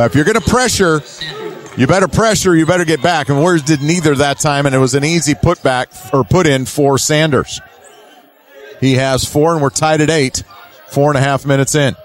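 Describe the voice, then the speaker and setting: A man with a slightly high voice gives live basketball commentary. He works in short, clipped phrases as play develops and punches the key calls with emphasis. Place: courtside at an indoor basketball game.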